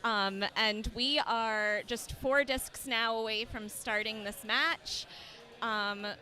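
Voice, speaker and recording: A woman's voice: fairly high pitch, fairly even intonation, slow pace, pushed and bright. A woman co-commentating a tournament livestream in an upbeat, chatty way. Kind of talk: casual sports commentary.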